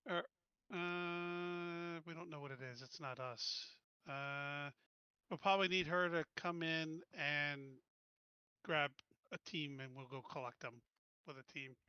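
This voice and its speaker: A man's voice: a little high, slow pace, bright, forceful tone, clean tone, dry recording, lo-fi sound. A man playing a tabletop game, casually talking through plans as one of the players. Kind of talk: casual game planning talk.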